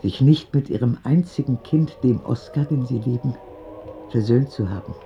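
A man playing a frank, tender old grandmother in a radio drama, speaking gently, earnestly and deliberately. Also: mid-range voice, highs cut off, soft background music, natural voice. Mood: grave, gentle.

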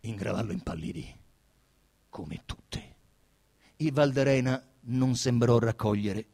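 A man with a Roman accent giving an emphatic, declamatory, expressive theatrical reading of literary narration. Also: medium pitch, slow. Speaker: stage actor reading a novel as narrator. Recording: reverberant hall.

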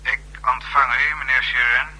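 A man's voice: mid-range voice, little pitch movement, normal speed, pushed and bright, slightly rough, poor audio. An actor playing a formal, obliging and self-assured covert henchman in a 1960s radio play. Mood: deferential, assured. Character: smooth-mannered, deferential, confident male covert henchman.

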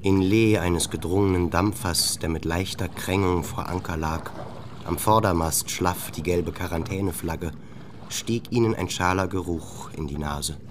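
A very low-pitched man narrates a literary radio play. His scripted prose is set over clearly audible ambient noise. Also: measured pace.

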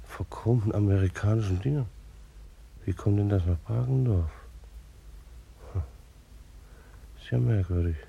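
A man's voice: lowish voice, gravelly, dark tone, dull audio, slight background noise. An actor in a German radio play, playing a retired bailiff and beekeeper in his sixties, dutiful, fussy and wounded, with a formal, reflective manner. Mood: busy, muttering. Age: 60s. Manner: formal, reflective, old-fashioned official's wording. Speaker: actor narrating in character.

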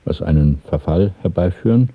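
An actor playing a grave, authoritative conspirator in a radio drama, speaking formally and deliberately as he questions a recruit. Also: low voice, expressive, breathy edge, no echo, phone-quality sound, heavily acted. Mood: stern questioning. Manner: formal, deliberate, coolly interrogating. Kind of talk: 1970s German radio drama acting. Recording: thin, band-limited old radio play recording.

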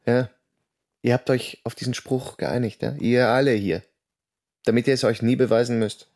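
A man's voice: medium pitch, steady tone, normal speed, a little mellow, dry recording, studio quality, lightly acted. A man playing a restless, insistent Austrian stage actor in a radio drama, telling his story and arguing. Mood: irritated, insistent. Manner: insistent, probing. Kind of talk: radio drama narration and dialogue.

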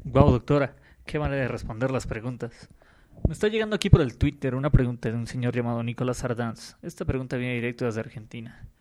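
A man's voice: medium pitch, fast talker, noisy background. A young man hosting a podcast in a radio-show manner, reading out listener questions. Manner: upbeat, reads listener questions aloud. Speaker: podcast host. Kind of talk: scripted radio-style hosting.

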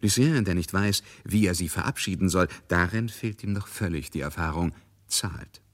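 Male radio-play narrator, low-pitched, husky, literary dramatic storytelling. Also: moderate pace.

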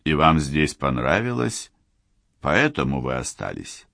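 Sardonic middle-aged criminalist, deep and dry, measured delivery, read by a man. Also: big pitch swings, gravelly, pushed and bright, no echo, heavily acted.

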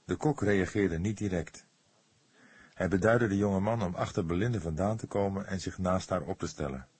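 A man with a husky voice reading a detective novel aloud as scripted narration. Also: sits low, moderate pace, darkish tone, no echo. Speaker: audiobook narrator.

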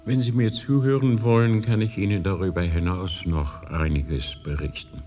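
An actor playing an ancient, frail High Lama in a radio drama, soft and courteous with a slightly melancholic manner. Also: male voice, fairly even intonation, moderate pace, soft background music, close to an everyday voice. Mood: gentle, confiding. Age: older. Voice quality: soothingly soft. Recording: long hall reverb.

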